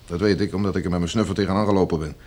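A man's voice: deep voice, fairly even intonation, rapid-fire, darkish tone. A man in a radio drama playing a cheery, slangy working-class henchman guarding a captive with offhand ease. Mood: jaunty, amused.

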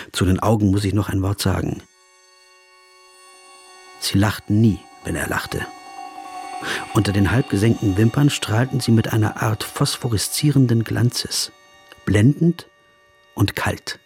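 Radio play narrator, low-ish voice, calm literary storytelling, reflective, faint music behind. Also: male voice, measured pace, vocal fry, long pauses, breathy edge, exaggerated voice. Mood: measured, reflective. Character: observant, composed gentleman officer telling his travel memories.

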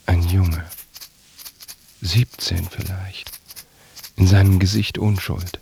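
Radio drama, dreamy confused man, deep creaky voice, slight noise. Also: steady tone, slow, darkish tone, heavily acted. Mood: musing. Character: musing, confused man observing strangers closely. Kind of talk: literary radio drama monologue.

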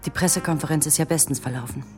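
An actress playing a sharp, proud young woman working for the police in a radio drama, curt and confrontational. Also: low voice, fast, music in the background, close to an everyday voice. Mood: bitter, angry. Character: sharp, proud young female police employee, easily angered.

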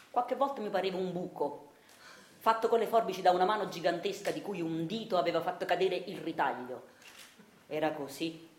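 An actress playing a dreamy, henpecked, bookish husband, confiding his story in a hushed theatrical monologue. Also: lowish voice, reverberant, slight background noise, close to an everyday voice. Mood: wistful musing. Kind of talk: theatrical radio monologue narration.

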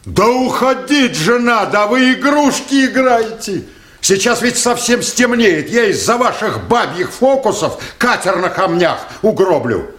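An actor playing a gruff, exasperated boatman in a radio play, with a folksy, scolding way of talking. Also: high-pitched, very animated, a little slow, a bit bright, exaggerated voice. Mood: exasperated, urgent. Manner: folksy, scolding, colloquial speech. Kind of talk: 1980s radio play acting. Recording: roomy, faintly hissy radio drama recording.

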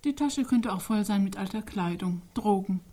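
Radio play: actress playing a doubting woman, mid-pitched, husky, questioning in brief phrases. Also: expressive, normal speed, warm tone, lightly acted. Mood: sceptical. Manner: sceptical, short questioning interjections.

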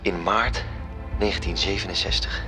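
A man's voice: low voice, steady tone, normal speed, lightly acted. Man as dutiful, precise angel civil servant. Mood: measured, narrating. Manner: deferential, precise, formal. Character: dutiful male angel, bureaucratic agent reporting upward. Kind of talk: radio drama acting. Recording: music behind the voice. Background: music.

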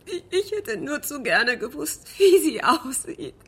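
A woman playing a jealous, betrayed woman in a radio drama, pleading in a tearful, breathy, halting way. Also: high-pitched, big pitch swings.

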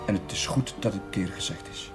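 An actor playing a gentle, flustered, self-mocking young village priest in a television period drama, speaking hesitantly. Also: male voice, lowish voice, fairly quick, lightly acted. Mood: subdued, earnest. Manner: hesitant, stammering. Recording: music behind, 1970s television soundtrack.

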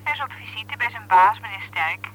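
A woman's voice: medium pitch, animated, brisk, a crowd in the background, close to an everyday voice, poor audio. An actress playing a friendly, obliging woman on the telephone in a Dutch radio drama. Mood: friendly, helpful.

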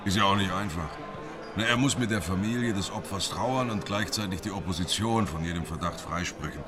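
An actor playing a sardonic, level-headed police investigator in a radio drama, talking in a dry, casual way. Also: male voice, low-pitched, brisk, music in the background, close to an everyday voice. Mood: pensive. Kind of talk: radio drama, conversational dialogue.

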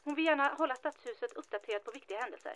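A woman playing a mayor's secretary in an audio drama, precise, discreet and deadpan. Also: high-pitched, no echo. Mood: deadpan, informative. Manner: precise, deadpan, formal wording. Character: matter-of-fact, discreet female mayor's secretary.

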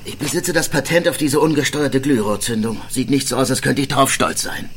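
A man playing a determined middle-aged inventor in an audio docudrama, brisk and commanding with his partner. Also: mid-range voice, steady tone, background noise, natural voice. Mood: frustrated.

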